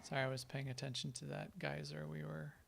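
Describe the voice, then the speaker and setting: A man talks casually through a live game stream, answering questions from viewers as he plays. His voice is strongly gravelly and sits a little high. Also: little pitch movement, brisk, slight background noise. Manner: chatty, answers viewers while playing.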